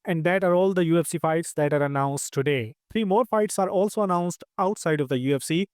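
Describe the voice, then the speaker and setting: A man with an Indian accent hosting a channel, reading combat sports news in a steady scripted way. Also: on the high side, clear, resonant tone, no room sound, clean studio sound. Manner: quick, steady news read. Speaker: news host.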